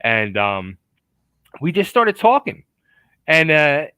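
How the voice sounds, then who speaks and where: A man hosting a relaxed interview show, chatty and animated, often restarting his sentences. His voice is bright and swings widely in pitch. It sounds dry and close, with no room sound. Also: takes it slowly, clear, resonant tone, dry recording. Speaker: podcast host. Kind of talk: casual interview chat.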